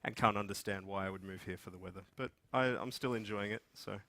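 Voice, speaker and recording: Bright, forceful Australian man presenting. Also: on the low side, fast talker. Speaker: conference speaker, software development manager. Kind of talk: informal conference presentation. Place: meeting room with seated audience, indoors.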